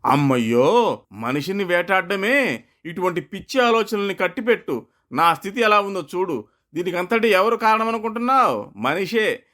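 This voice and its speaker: A man's voice: sits high, animated, moderate pace, bright, forceful tone. A man voicing a gaunt old bull in a folktale, bitter and alarmed, exclaiming and cautioning.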